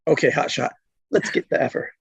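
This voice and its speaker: A woman playing an easygoing, playfully boastful male cop in a comedy script read, teasing and laid-back with a husky, gravelly voice. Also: extremely low pitch, fairly quick, no echo, exaggerated voice. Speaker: actor in an online script table read. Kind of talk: live comedy screenplay table read.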